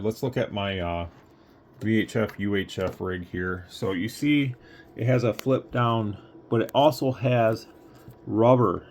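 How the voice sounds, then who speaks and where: Male product reviewer, lowish voice, casual and rambling as he thinks out loud; phone recording with clear background noise. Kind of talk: casual unboxing review, talking through thoughts. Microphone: phone, handheld.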